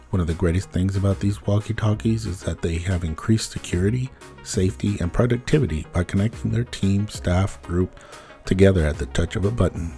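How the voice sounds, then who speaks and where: Guy doing a product tutorial, deep mellow voice, plain informal sales talk, bit of music behind. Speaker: business owner presenting products.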